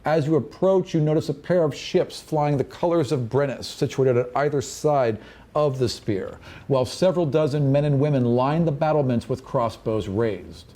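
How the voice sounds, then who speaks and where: Male game master, mid-pitched and mellow, narrating fantasy scenes descriptively with offhand asides. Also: normal speed.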